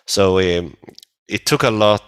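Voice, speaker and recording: A man with a Swedish accent, a native Swedish speaker, chatting casually as an interview guest in halting phrases. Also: fairly low pitch, smooth, no room sound, studio quality. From Sweden. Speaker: metal band vocalist, interview guest.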